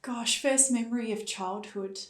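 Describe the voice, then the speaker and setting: A woman's voice: fairly high pitch, unhurried. A young adult woman from Africa, speaking as a guest in a conversational interview in an earnest, affirming way. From South Africa. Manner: earnest, affirming, frequent "you know". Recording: echoey room.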